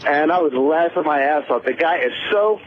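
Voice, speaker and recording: A man's voice: a little high, animated, normal speed. A male caller to a radio show, chatting casually. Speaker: phone-in caller. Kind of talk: casual chat. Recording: tinny phone line with faint noise.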